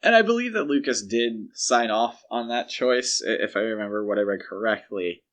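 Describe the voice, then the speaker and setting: A man's voice: fairly low pitch, animated, moderate pace, a bit bright. A man co-hosting a podcast, talking through film franchise history in a conversational, explanatory way. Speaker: podcast co-host. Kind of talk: casual film franchise discussion.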